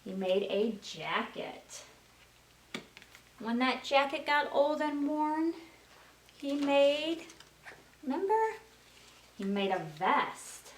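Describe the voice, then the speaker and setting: Lively high-voiced woman reading to young children. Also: slow, pauses often. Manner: sing-song, prompting listeners with questions. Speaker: children's librarian and storyteller. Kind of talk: read-aloud story time for young children. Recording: roomy, distant mic with faint noise.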